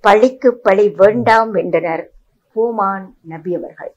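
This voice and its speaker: A woman formally reciting poetry in measured, emphatic lines. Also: medium pitch, lo-fi sound. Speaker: media personality reciting poetry.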